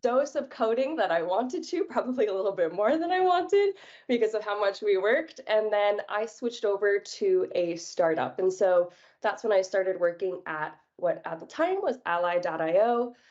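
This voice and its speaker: A young woman from New York, a podcast guest, telling her career story in a relaxed, chatty way with plenty of fillers. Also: mid-range voice, moderate pace, dull audio. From New York, United States. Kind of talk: casual interview chat.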